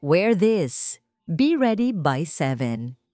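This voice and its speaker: A woman's voice: fairly low pitch, sing-song, slow, mellow. A Filipino-accented woman voicing a formal, businesslike young rich man in a storybook romance, clipped and emotionless. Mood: cold, curt. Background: background music.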